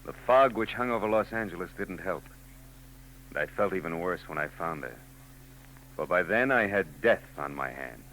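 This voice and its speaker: A world-weary hard-boiled detective. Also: male voice, low voice, expressive, moderate pace, noisy background. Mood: gloomy. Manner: dry, world-weary first-person narration. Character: weary hard-boiled male private eye. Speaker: hard-boiled private eye narrator. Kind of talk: 1940s radio drama narration. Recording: old radio broadcast with music under.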